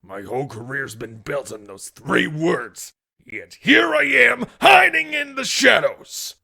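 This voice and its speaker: An actor playing a gruff, cynical, hot-tempered male police detective in a comic dub, raspy, blunt and grumbling. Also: a little high, lively intonation, slow, sharp tone, raised voice, heavily acted. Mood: frustrated, then outraged shouting. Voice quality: gravelly, raspy.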